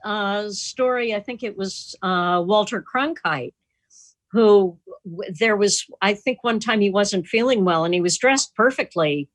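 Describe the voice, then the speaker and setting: A woman's voice: mid-range voice, unhurried, no echo. A woman chatting casually and jokingly as the host of a club's video call, telling little anecdotes. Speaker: host of an online club meeting. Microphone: computer mic on a video call.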